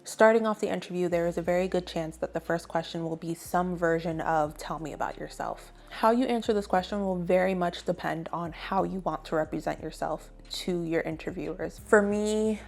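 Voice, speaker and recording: A woman's voice: fairly low pitch, moderate pace, vocal fry, few pauses. A young adult woman hosting an advice video, talking casually and conversationally to viewers with emphasis on key points. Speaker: video host, graduate student giving advice.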